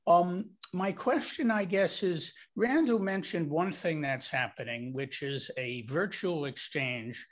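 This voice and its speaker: A man whose voice sits a little high for a man asks a long question over a video call. He talks in a friendly, rambling way and adds side remarks before getting to his point. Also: unhurried, few pauses. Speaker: club member asking a question.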